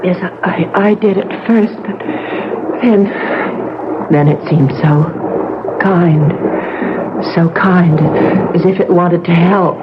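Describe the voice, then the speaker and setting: Frightened young woman, radio drama, slow halting breathless delivery, heavy noise. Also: lowish voice. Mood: shaken, hopeful. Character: frightened young woman trapped in a dark cave, desperate. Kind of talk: old-time radio drama acting. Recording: noisy, muffled old radio drama recording.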